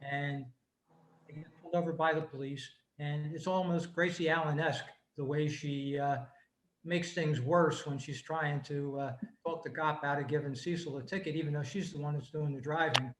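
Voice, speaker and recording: A man presenting informally on a video call, explaining things in a halting, conversational way. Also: on the high side, fairly even intonation. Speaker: club member presenting. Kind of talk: casual explanatory talk. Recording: roomy, slightly noisy video-call audio.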